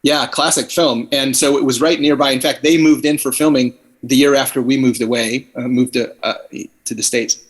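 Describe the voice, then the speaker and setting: A man's voice: medium pitch, fairly even intonation, normal speed, breathy edge. A man raised in the Philippines, a podcast guest talking thoughtfully and at length over a video call, often restarting his sentences. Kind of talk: casual conversation on a video call. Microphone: computer on a video call.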